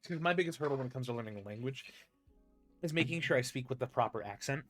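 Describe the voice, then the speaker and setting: A young American man hosting a casual interview, rambling with lots of restarts and getting animated when he tells a story. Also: mid-range voice, fast talker, faint music behind. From United States. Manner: rambling, many fillers and restarts, animated when telling stories. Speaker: podcast host.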